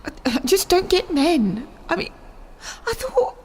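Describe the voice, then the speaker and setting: Woman as a high-voiced, hesitant immortal. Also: sing-song, darkish tone, full character voice. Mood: hurt, hesitant. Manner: hesitant, halting. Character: gentle, weary, centuries-old immortal woman. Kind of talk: audio drama dialogue. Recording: music under the voice.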